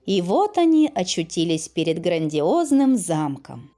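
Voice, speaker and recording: A woman narrates a fairy tale for children with highly animated pitch. She takes her time, using dramatic pauses and an expressive storyteller's delivery. Faint music plays behind her.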